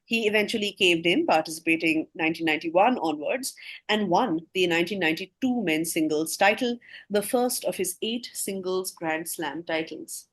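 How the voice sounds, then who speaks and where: A woman's voice: fairly low pitch, normal speed. A woman quizmaster reading scripted questions aloud, with casual asides to the players.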